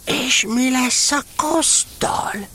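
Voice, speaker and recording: A woman playing an anxious, slow-witted, sulky male toy bear in a children's radio play, with a creaky, breathy voice. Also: sits high, pushed and bright, full character voice. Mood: mournful.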